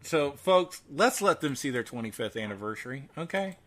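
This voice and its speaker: Bright, forceful man co-hosting a podcast. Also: medium pitch, big pitch swings, moderate pace. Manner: emphatic, repeats phrases for emphasis. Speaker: podcast co-host. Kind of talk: casual horror news chat.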